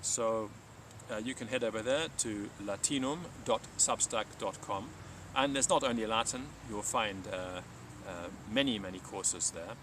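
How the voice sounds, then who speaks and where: A man's voice: fairly low pitch, measured pace, few pauses, recorded from a distance, noisy background. A man speaking as a teacher hosting a live stream, reading aloud and talking informally.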